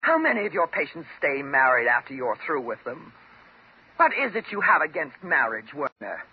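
An actress playing a bitter, vengeful jilted patient with a mocking, sarcastic and dramatic manner in a 1950s radio drama. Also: female voice, low-pitched, very animated, normal speed, husky, heavily acted. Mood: sarcastic, accusing. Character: bitter, vengeful jilted woman patient holding doctor at gunpoint. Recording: dull old 1950s radio recording.

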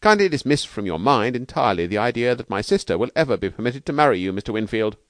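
Man playing a haughty, high-pitched young gentleman. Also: very animated, fast talker, dry recording, studio quality, close to an everyday voice. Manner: clipped, pompous. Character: indignant, stuffy young gentleman, haughty and tart.

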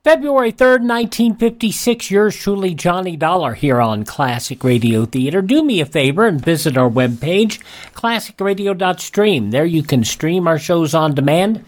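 A man's voice: fairly high pitch, animated, normal speed. A man hosting a radio program, addressing listeners in a scripted sign-off style. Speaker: radio show host.

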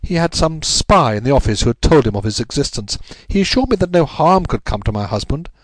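A man's voice: medium pitch, very animated, dry recording, pitched above the performer's own voice, poor audio. A man voicing a distraught, pleading upper-class married Englishwoman in a story, breathless and melodramatic. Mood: anguished confession. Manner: breathless, melodramatic, halting repetitions.

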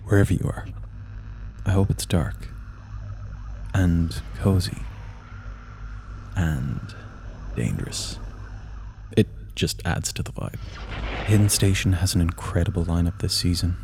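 Genial ghoulish male horror host, acted by a man, deep and unhurried, theatrical menace with a wink, faint noise behind. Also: gravelly. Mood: playfully ominous. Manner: slow, ominous, playful. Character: creepy, genial male horror storyteller in a crypt. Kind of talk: theatrical spooky podcast introduction.

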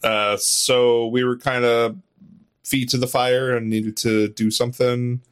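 A man's voice: mid-range voice, little pitch movement, measured pace, smooth, no echo, studio quality. A man chatting casually as a podcast guest, rambling in a self-deprecating, chuckling way. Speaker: podcast guest, audio drama writer and voice actor. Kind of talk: casual interview chat.

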